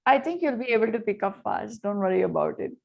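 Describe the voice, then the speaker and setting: A woman's voice: medium pitch, animated, fast, gravelly. Encouraging woman tutor on a call. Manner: encouraging, repeats "okay, very well". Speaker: English tutor. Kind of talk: conversation practice session. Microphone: video call.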